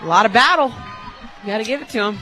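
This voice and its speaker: A woman giving live radio analysis of a softball game as the color commentator. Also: a little high, expressive, fast, crowd noise. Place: outdoor softball stadium radio booth.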